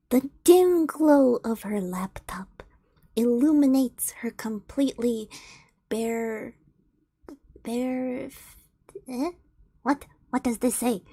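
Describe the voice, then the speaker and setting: A woman's voice: fairly high pitch, warm tone, slightly rough, no echo. A young woman streams and reads fanfiction aloud in a giggly, flustered way, with frequent laughs. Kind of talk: casual stream chat and read-aloud.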